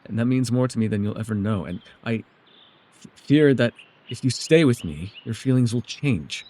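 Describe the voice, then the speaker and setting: Man playing a guarded, halting ancient elf recluse. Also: mid-range voice, animated, dark tone, natural voice. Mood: tender, regretful. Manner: halting, stammering, earnest. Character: reclusive centuries-old male elf, guarded, awkward, self-doubting. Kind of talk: fantasy ASMR audio roleplay.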